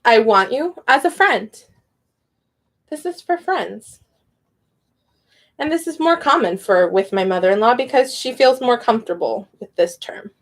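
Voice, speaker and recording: Woman, young adult, casual teacher, talkative, laughs a lot, slightly high pitch. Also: animated, unhurried, pauses often. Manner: chatty, goes off on tangents, laughs often. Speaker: Spanish teacher. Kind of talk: casual spoken lesson.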